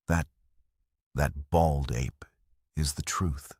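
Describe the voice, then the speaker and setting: A man's voice: rumbling low, fairly even intonation, extremely slow, darkish tone, slightly rough, no echo, clean studio sound, exaggerated voice. A man playing a manic, arrogant male supervillain, ranting with scorn in an audio story. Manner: grandiose, ranting.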